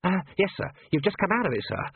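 A man's voice: very animated, fast talker, creaky, breathy edge, dry recording, exaggerated voice. A man voicing a timid young male constable, with a raised, flustered voice. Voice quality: high, flustered. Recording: tinny narrow-band, dry.

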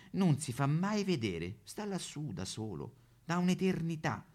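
A man's voice: fairly high pitch, darkish tone, heavily acted. Man playing a swooping, self-pitying Roman Madonna statue. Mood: resentful, sardonic. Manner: melodramatic, plaintive, sudden swoops into wailing. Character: aggrieved neglected female courtyard Madonna statue, self-pitying, vain. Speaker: comic actor. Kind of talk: satirical theatrical monologue.

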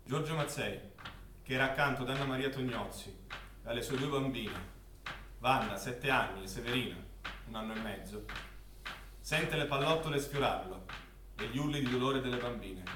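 A man's voice: mid-range voice, normal speed, pauses often. A man narrating a scripted war drama as a stage actor, in a dramatic storytelling manner. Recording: echoey, noisy, distant stage recording.

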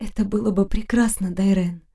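A woman's voice: fairly high pitch, normal speed, dark tone, close to an everyday voice. A woman voicing an earnest young fairy woman in an audiobook, sorrowful yet resolute, with an emotional, pleading manner. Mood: tender. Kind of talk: audiobook character voice.

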